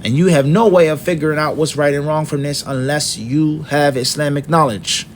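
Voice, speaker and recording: A middle-aged man giving a lecture in a fairly high voice, stressing each point in a long list and firing off rhetorical questions. A faint low rumble sits under the recording. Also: steady tone, moderate pace. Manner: emphatic, lists points one by one with rhetorical questions. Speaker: Islamic teacher.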